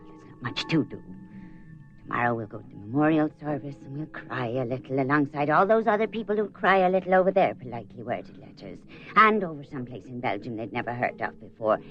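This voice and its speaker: An actress playing a devout, weary female companion who consoles others while quietly fearful, in a radio drama. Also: very deep voice, animated, fairly quick, lo-fi sound. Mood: resigned, consoling.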